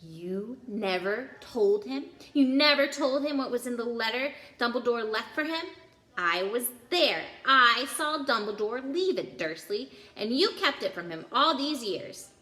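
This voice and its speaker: A huge, gruff groundskeeper of a giant, acted by a woman reading a storybook. He barks and growls with a short temper and indignant force. The delivery is bright and forceful, swinging widely in pitch in an echoey room. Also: animated, a little slow. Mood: furious. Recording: roomy indoor echo.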